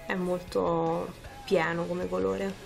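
A woman's voice: fairly low pitch, flat delivery, slow pace, gravelly, over loud music. A woman, casually talking through a makeup tutorial step by step in an informal, chatty way. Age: 20s. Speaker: beauty vlogger. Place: small furnished room at home, indoors.

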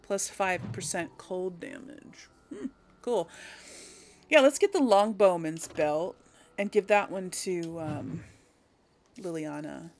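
A woman's voice: on the low side, lively intonation, slow. A woman giving casual video game commentary, thinking aloud as she plays. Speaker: video game let's player.

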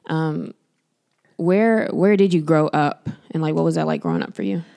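Low-voiced young woman interviewing. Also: big pitch swings, moderate pace, vocal fry, dark tone. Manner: easygoing, giggly, many fillers. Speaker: podcast co-host, interviewer. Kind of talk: casual interview chat. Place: small studio, on a couch. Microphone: studio mic on a stand, headphones.

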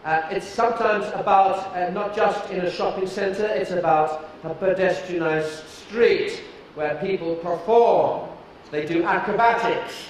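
British man giving a slow keynote in a hall. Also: high voice, a little hiss or hum. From United Kingdom. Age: middle-aged. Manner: deliberate, emphatic presenter with fillers. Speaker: keynote speaker. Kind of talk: conference keynote talk. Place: large dark stage before an audience. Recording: echoey hall from a distance.

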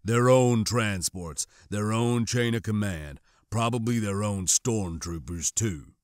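A man voicing a serious, wary stormtrooper who speaks slowly and firmly in an audiobook. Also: fairly low pitch, dry recording, clean studio sound. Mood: matter-of-fact. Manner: slow, firm, serious. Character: grave, wary male stormtrooper, guarded and cautioning.

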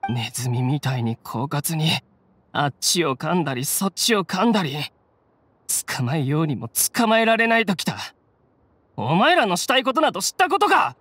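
Brash bandit grunt, swooping pitch. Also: male voice, big pitch swings, normal speed, a bit bright, no room sound. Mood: irritated. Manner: rough, coarse, blustering speech. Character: defiant low-ranking bandit gang grunt, adult man. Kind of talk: Japanese game voice acting.

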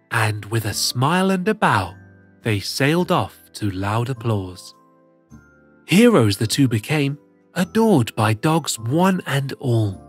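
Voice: medium pitch, husky, exaggerated voice. A performer voicing a cheerful plush cat who reads picture books to children in a theatrical, sing-song way. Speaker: storyteller host. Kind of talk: expressive children's picture-book read-aloud.

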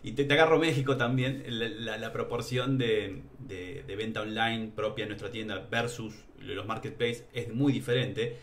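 Low-voiced Argentine man hosting. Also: normal speed, hardly any pauses. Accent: Argentine Spanish. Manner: relaxed, chatty asides. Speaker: podcast host. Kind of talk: casual podcast interview. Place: home room, indoors. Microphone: headset on a video call.

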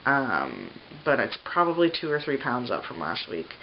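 Winded, stuffy low-voiced woman. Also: huge pitch swings, normal speed. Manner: chatty, rambling with many fillers and self-deprecating laughs. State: out of breath. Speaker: pregnancy vlogger. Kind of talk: casual chat to camera. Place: bedroom, indoors. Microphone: camera on a tripod at medium distance. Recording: slightly dull home video with faint hiss.

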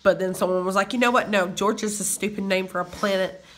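A man's voice: high-pitched, fairly even intonation, fast talker, a bit bright. A young man with a sinus infection, chatting and joking drily at home into a phone camera. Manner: dry joking, playful. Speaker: vlogger's partner. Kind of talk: casual banter. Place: home, indoors.

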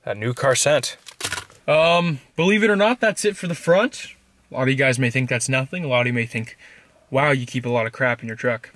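A man's voice: mid-range voice, a little fast. Teenage guy vlogging from his truck. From Canada. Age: teens. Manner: relaxed, rambling, with fillers and asides. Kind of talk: casual show-and-tell vlog. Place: inside a pickup truck, parked. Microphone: handheld camera close up.

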